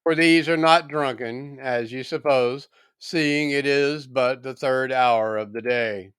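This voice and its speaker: A man reading scripture solemnly from a script, with deliberate, dramatic oratorical phrasing. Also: mid-range voice, fairly bright tone, clear, resonant tone, no room sound. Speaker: scripture reader.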